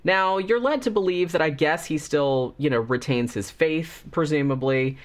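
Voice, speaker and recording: A man's voice: fairly high pitch, normal speed. A man hosting a casual movie review, talking through a cold with a slightly congested voice in a rambling, chatty way full of fillers.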